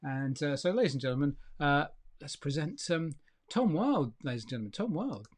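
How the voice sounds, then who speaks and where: A British man with a slightly high voice co-hosts an online show. He chats casually, feeling his way through sentences with informal enthusiasm. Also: lively intonation, fairly quick, noisy background. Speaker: co-host of an online variety show. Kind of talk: casual chat and introductions.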